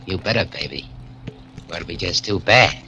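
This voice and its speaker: A man's voice: low voice, lively intonation, normal speed, background noise. An actor playing a hardened male crook in a 1940s radio drama, talking in a clipped, menacing tough-guy way.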